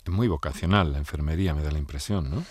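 A man's voice: rumbling low, fast talker, dry recording, studio quality. A man hosting an interview, friendly and questioning, often breaking into laughter. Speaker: interview host. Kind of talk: conversational interview.

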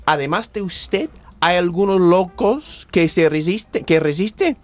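A man's voice: sits high, expressive, unhurried. An actor from playing a grumpy, greedy, domineering rich businessman in a radio play, scornful and emphatic. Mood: pushy, boastful. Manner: scornful, emphatic, repeats words for effect. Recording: music under the voice.

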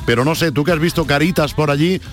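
A man hosting a live radio sports program, handing over to a reporter with lively presenter delivery. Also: a little high, fast, soft background music. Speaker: radio sports show host. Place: radio studio.

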